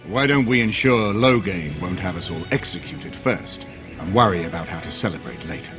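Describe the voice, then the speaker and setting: A man's voice: deep voice, expressive, moderate pace. An actor playing a dignified older nobleman and strategist in a fantasy video game, speaking formally and with measure. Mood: measured, grave. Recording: game audio with music underneath.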